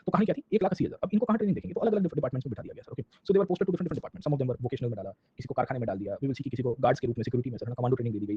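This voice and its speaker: A man with an Indian accent and a husky, rough-edged voice gives an informal classroom lecture as a history teacher, mixing Hindi with English and often checking in with short tags. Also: medium pitch, dark tone. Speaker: history lecturer for exam students. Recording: dull, lo-fi lecture audio.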